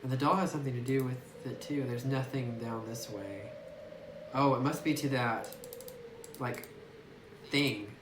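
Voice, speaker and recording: A man commentating a horror game as he plays, musing and getting spooked, thinking aloud with plenty of fillers. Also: mid-range voice. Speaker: gameplay streamer. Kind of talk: let's play commentary, reading game text aloud. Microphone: phone recording away from the speaker. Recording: echoey room, distant phone mic, faint noise.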